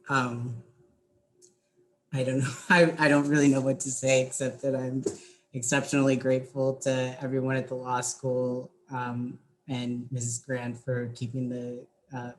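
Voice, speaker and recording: Young male student, monotone, halting earnest acceptance speech, slight background noise. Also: mid-range voice, poor audio. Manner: hesitant, earnest. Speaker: law student accepting an award.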